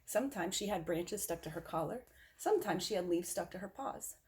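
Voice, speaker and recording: A woman reading a picture book aloud to children in an expressive storytelling style. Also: lowish voice, fairly quick. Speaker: storybook reader.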